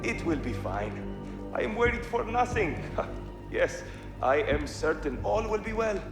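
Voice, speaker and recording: Pompous, jittery general. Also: male voice, sits high, sing-song, unhurried, exaggerated voice. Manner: pompous boasting, then jittery self-reassurance. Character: vain, nervous general, blustering man. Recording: echoey game audio over loud music.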